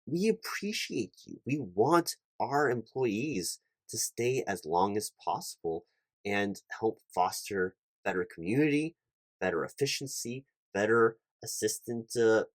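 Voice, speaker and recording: A man with a fairly low voice, recorded close and dry, roleplays a corporate hiring manager. He sounds earnest and a little flustered, stumbling over his words as he explains things in official business language. The delivery is that of a one-sided ASMR interview. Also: animated, no echo. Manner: halting, stammering corporate earnestness.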